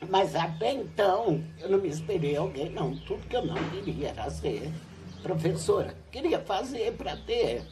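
An older woman, a retired teacher, recounting her school days in a relaxed interview on a home patio. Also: lowish voice, normal speed, background noise. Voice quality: lively, animated storytelling with laughs. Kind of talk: reminiscing interview. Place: covered outdoor patio at a home.

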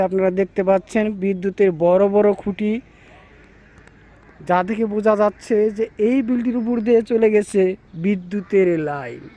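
A man narrates village folklore to viewers in a deliberate, repetitive, formal presenter's manner. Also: sits high, warm tone, lo-fi sound. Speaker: storyteller presenter.

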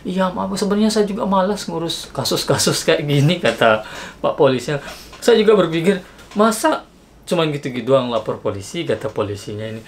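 Animated man telling a story at home. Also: brisk, slight background noise. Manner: animated, acts out dialogue with shifting pitch. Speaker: vlogger. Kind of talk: casual storytelling. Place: small bedroom with gaming setup. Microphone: camera mic, seated facing camera.

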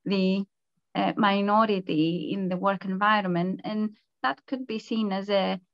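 A woman's voice: mid-range voice, steady tone, measured pace, no echo. A woman with a Greek accent speaks earnestly and conversationally as an online panelist. The sound is dry and close, with a dull, muffled video-call quality. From Greece. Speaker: panelist, entrepreneur.

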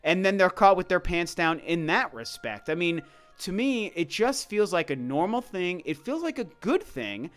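A man's voice: sits high, big pitch swings, moderate pace, a bit bright, soft background music. A man giving casual, conversational opinion commentary, speaking emphatically and repeating his points for stress. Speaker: video commentator. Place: voice-over over gameplay footage.

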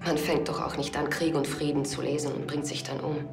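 An actress playing a quietly grieving young team physiotherapist in a TV crime comedy, subdued and earnest. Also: female voice, deep voice, level tone, brisk, reverberant, over music, close to an everyday voice. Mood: subdued, insistent. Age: young adult.

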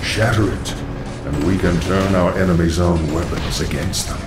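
Sinister lich sorcerer, very low, hollow, echoing and distant, solemn, servile, video game. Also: male voice, moderate pace. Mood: solemn counsel. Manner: solemn, reverent. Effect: echoing reverb. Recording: echoing, distant, loud music behind.

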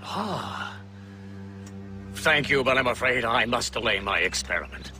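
An actor playing an excitable elderly gentleman inventor in a game cutscene, polite and eager. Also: medium pitch, a little slow, soft background music. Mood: genial. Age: older. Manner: genial, eager, a little fussy. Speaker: scientist. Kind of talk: video game cutscene acting.

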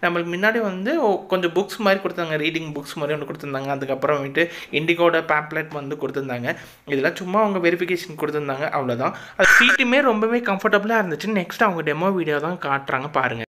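A Tamil-accented man talks through a casual travel vlog at a rapid clip, his voice sitting a little high. He sounds bright and edgy, and his delivery is chatty and advice-giving, packed with fillers. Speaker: travel vlogger.

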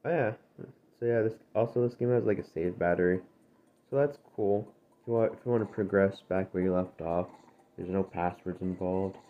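A man hosting his gaming channel, chatting casually over gameplay with a dry, gravelly voice because he has just woken up. He rambles and corrects himself as he goes. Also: low-pitched, steady tone, normal speed. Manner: rambling, self-correcting, drifting between topics. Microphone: phone screen-recorder audio. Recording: tinny, phone-like, faint noise.

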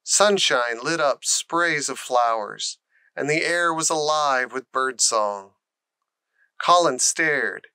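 A man narrating a children's storybook in a deliberate, expressive way. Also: medium pitch, smooth, dry recording. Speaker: audiobook narrator.

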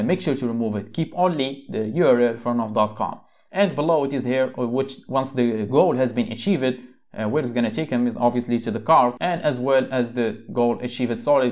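Young man from Morocco, laid-back tutorial host, chatty with fillers, desk mic at home. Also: mid-range voice. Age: young adult. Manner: casual, conversational, frequent fillers and restarts. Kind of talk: screen-share software tutorial. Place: small room at home, desk setup.

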